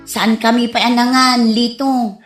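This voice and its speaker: A woman's voice: on the high side, little pitch movement, unhurried, reverberant, faint music behind, natural voice. A woman with an Ilocano accent acting an impatient, scolding young villager in a comedy radio drama.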